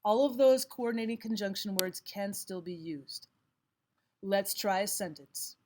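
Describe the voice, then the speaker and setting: A woman teacher giving scripted instructional narration, explaining and reading examples out deliberately. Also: mid-range voice, darkish tone, slight background noise. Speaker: language arts teacher.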